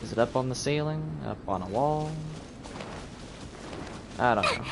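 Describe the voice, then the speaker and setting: A man's voice: medium pitch, lively intonation, a little slow, heavy background noise. A man giving casual live commentary on a video game, thinking aloud as he plays. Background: video game music.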